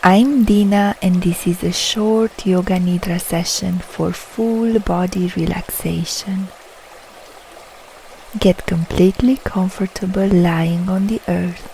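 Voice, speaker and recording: A woman guiding a yoga nidra relaxation meditation, speaking in soft, soothing, unhurried short phrases with long pauses. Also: lowish voice, steady tone, a little hiss or hum, rough recording. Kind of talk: slow guided relaxation meditation.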